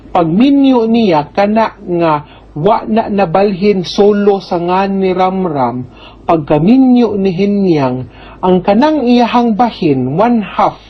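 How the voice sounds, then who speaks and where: Dull-sounding recording of a Bisaya woman advising. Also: fairly low pitch. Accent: Filipino Bisaya. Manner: informal, explanatory, joking asides, tag questions. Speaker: host giving legal advice to a letter sender. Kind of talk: advice segment, reading out and answering questions conversationally.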